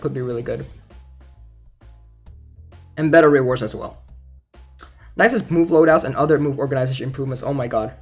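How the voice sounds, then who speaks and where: A young man with a slightly high voice talks like a gaming YouTuber going over news. His delivery is informal and a little hesitant, as if working out his thoughts aloud. Faint music plays behind him. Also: vocal fry. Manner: informal, hesitant, thinking out loud. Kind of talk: casual game news commentary, partly reading aloud.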